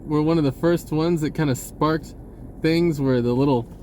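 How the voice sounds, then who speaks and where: A man talking casually in a rambling monologue, halting and pausing mid-sentence as he goes. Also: on the high side, warm tone, a little hiss or hum. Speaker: vlogger.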